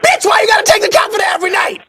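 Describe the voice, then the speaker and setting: Casting: comic actor as outraged, bombastic anger translator, piercing high voice, wild swings, noisy clip audio. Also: male voice, lively intonation, very fast. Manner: ranting, shouting, bombastic. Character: explosive, indignant male anger translator for a president. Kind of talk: sketch comedy clips played as soundboard. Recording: noisy soundboard clips over phone.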